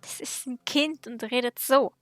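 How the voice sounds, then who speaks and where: Female gamer, casual commentary, high-pitched, music in background. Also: normal speed, a bit bright, no room sound. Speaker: gaming streamer.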